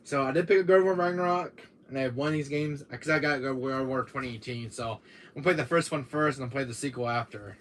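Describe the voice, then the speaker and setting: A man with a deep voice hosting a casual gaming live stream, rambling with many fillers while talking to his chat, tired from a cold. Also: fast, fairly bright tone, a little hiss or hum. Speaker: gaming channel host.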